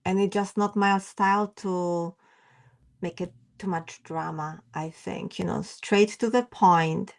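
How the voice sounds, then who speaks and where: A woman's voice: on the low side, fairly even intonation, slow pace, vocal fry. A woman whose first language is Polish, a memoir author answering interview questions in simple, hesitant phrasing. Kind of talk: podcast interview.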